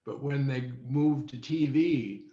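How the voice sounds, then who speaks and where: An older man reminiscing as an interview guest, speaking in a halting, deliberate way over a video call. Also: medium pitch. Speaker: interview guest, former child radio quiz contestant. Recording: dull, echoey video-call audio.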